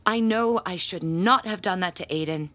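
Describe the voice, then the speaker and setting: A woman's voice: fairly low pitch, moderate pace, a bit bright, natural voice. A woman playing a stubborn, self-righteous church bell ringer in an audio drama, with a defensive, dramatic manner. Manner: defensive, dramatic, sighing and groaning. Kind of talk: naturalistic audio drama acting.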